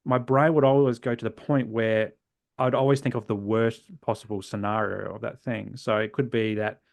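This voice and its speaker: An Australian man in his forties, a guest in a podcast interview, telling his own story earnestly and reflectively, often trailing off softly at the ends of phrases. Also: fairly low pitch, flat delivery, normal speed, gravelly, no room sound. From South Australia. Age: 40s.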